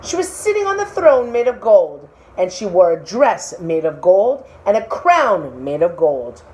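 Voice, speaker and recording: A woman reading a storybook aloud in an expressive style of narration for children. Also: mid-range voice, a little slow. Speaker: storybook reader.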